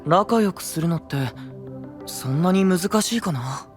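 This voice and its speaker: Enigmatic young male leader: fairly high, soft, measured, idealistic anime knight. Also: lively intonation, soft background music. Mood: quietly troubled. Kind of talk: anime-style voice drama acting.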